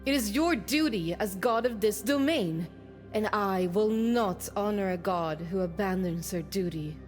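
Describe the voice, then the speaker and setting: A woman's voice: medium pitch, lively intonation, a little slow, lightly acted. A woman playing a stern, dutiful goddess of war in a visual novel, speaking formally and with resolve. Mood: stern, urgent. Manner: formal, commanding. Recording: hall reverb with music underneath.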